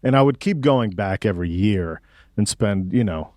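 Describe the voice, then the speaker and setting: A man's voice: fairly low pitch, animated, normal speed, mellow, no echo, clean studio sound. A man in his forties from Mexico City, chatting casually and thinking aloud as an interview guest, with laid-back slang. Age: 40s. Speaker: guest musician. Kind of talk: casual reflective interview chat.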